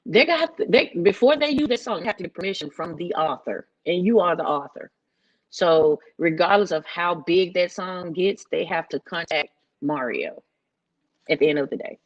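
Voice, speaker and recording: Female panelist, informal explainer. Slightly low pitch, muffled low-fidelity recording. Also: lively intonation, normal speed. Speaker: panelist explaining music rights. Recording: dull, lo-fi sound.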